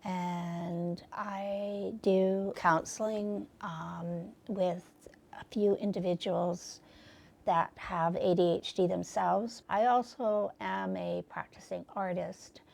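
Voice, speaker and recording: Older woman, 70s, counselor; slow pace, gravelly low tone. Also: darkish tone. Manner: slow, halting, with frequent fillers. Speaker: counselor and artist. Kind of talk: interview self-introduction.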